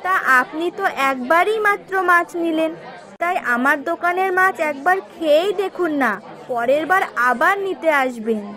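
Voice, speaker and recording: A woman's voice: extremely high pitch, animated, moderate pace, pushed and bright, some chatter behind, heavily acted. A woman playing a hardworking, plain-spoken village fish seller and impatient wife in a cartoon, chatty and colloquial. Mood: persuasive. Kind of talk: Bengali fairy tale cartoon dubbing.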